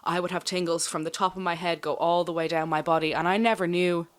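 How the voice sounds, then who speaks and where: A young woman is a guest in a casual interview and shares her own experiences. She speaks candidly and reflectively, in a slightly low voice, and some of her phrases trail off softly. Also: steady tone, quick pace.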